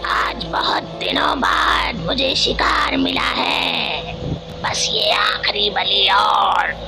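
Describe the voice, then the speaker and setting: A woman's voice: mid-range voice, very slow, vocal fry, raised voice, music in the background, heavily acted, poor audio. Harsh, whispery wicked witch. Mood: gloating, menacing. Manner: menacing, gloating. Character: wicked witch hungry for sacrifice and immortality.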